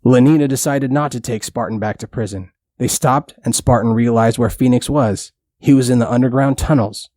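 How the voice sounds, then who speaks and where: A man's voice: mid-range voice, moderate pace, hardly any pauses, a little mellow, no room sound, clean studio sound. A man narrating a scripted bedtime story for children in plain, simple storytelling language.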